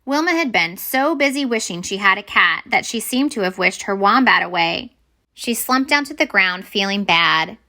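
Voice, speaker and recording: A woman reading a children's picture book aloud as a storyteller, expressive and deliberate. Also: medium pitch.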